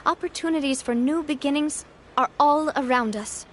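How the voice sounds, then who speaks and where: A woman's voice: high voice, moderate pace, fairly bright tone, slight background noise. A woman playing a confident, gracious young leader in a video game, composed and breezy. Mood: earnest. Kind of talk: video game character acting.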